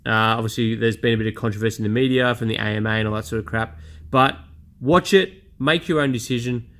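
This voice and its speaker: A man's voice: medium pitch, quick pace, fairly bright tone. A man, talking bluntly and casually about his own experience in a personal vlog. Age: 30s. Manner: blunt, chatty, self-deprecating. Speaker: vlogger. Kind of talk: candid personal vlog monologue.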